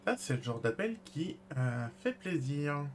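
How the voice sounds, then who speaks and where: A man's voice: a little high, very animated, a little slow, slight background noise. A man streaming a role-play game, talking casually and in character with hesitant, stop-and-start phrasing.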